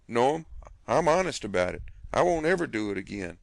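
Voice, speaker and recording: A man's voice: medium pitch, big pitch swings, normal speed, creaky, dry recording. A man voicing a mischievous teenage boy who talks in a mock-humble, wheedling way.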